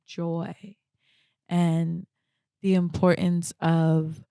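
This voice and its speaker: A young woman talking reflectively and casually as a podcast guest, halting often and peppering her speech with "like". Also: fairly low pitch, level tone, creaky, mellow, clear, resonant tone, dry recording, studio quality. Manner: halting, frequent "like" as verbal filler.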